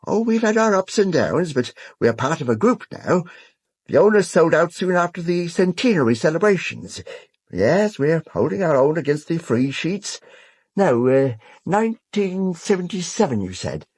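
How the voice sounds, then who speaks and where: An actor with a British accent playing a fussy, chatty older newspaper archivist in an audio drama, muttering and exclaiming. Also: male voice, fairly high pitch, sing-song, normal speed, darkish tone, slightly rough, no echo, studio quality. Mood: chatty, proud. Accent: British English. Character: fussy, chatty old male newspaper archivist, easily scandalised. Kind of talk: full-cast audio drama acting.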